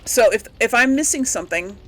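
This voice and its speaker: A woman's voice: fairly high pitch, expressive, fairly quick, rough recording. A woman hosting a gaming let's play, talking casually to her viewers in a rambling, chatty way. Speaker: host of a video game let's play channel.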